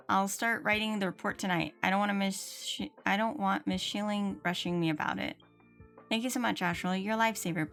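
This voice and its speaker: A woman's voice: mid-range voice, creaky, a bit bright. A woman livestreaming a game, chatting casually as she thinks aloud and reads dialogue out. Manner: thinks aloud, playfully mimics game lines. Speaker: game streamer. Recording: game music behind the voice. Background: video game music.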